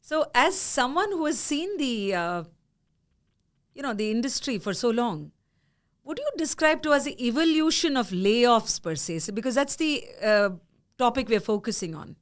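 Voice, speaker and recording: A woman hosting a podcast, telling a story with animated energy and frequent laughter. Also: a little high, normal speed. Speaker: podcast host. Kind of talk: podcast conversation.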